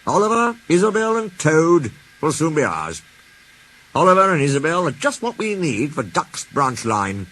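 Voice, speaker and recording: A man's voice: fairly high pitch, wildly swooping pitch, moderate pace, sharp tone, pitched above the performer's own voice, exaggerated voice. A man voicing a jovial, pompous railway controller in a storybook, hearty and full of authority. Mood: pleased.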